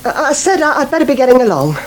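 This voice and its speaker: An actress playing a diffident, put-upon village helper in a radio soap, hesitant and deferential. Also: female voice, sits high, very animated, very fast. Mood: hesitant, obliging. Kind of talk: naturalistic radio soap acting. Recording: noisy 1980s radio broadcast.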